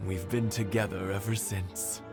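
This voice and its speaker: A gentle old village husband. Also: male voice, deep voice, steady tone, measured pace. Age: older. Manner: warm reminiscing storyteller, soft chuckles. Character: kindly elderly village husband, gentle and nostalgic. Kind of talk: game voice acting. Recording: studio game dialogue with loud music.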